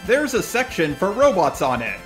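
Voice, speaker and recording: A man's voice: sits high, huge pitch swings, moderate pace, music in the background. A man hosting a video, giving scripted commentary. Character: persona of a robot. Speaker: host.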